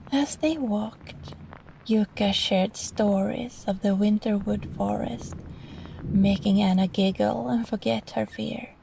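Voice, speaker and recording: A woman reading a bedtime story softly and breathily in a gentle, soothing ASMR manner, often close to a whisper. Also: medium pitch, gravelly, noisy background. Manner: gentle, slow, soothing delivery. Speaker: storyteller, voice actress. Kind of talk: soft bedtime story reading, sleep-aid ASMR roleplay.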